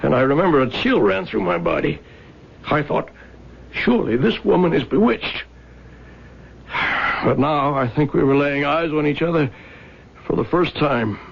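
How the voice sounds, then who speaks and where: An earnest, haunted younger man in an old radio play. His voice is fairly high, husky and crackly, and he recalls things with halting, intense wonder. The sound is dull. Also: expressive, full character voice. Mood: awed, intense. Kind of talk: radio drama acting. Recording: dull 1970s radio recording.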